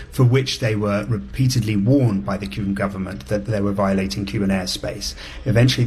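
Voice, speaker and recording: A male news correspondent with a fairly low voice gives a live explanatory report. His phrasing is measured and careful, as he picks his wording on the spot.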